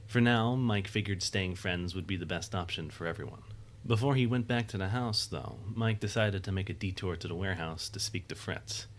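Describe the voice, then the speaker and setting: Low-pitched male narrator. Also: normal speed, few pauses. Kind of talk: scripted narration.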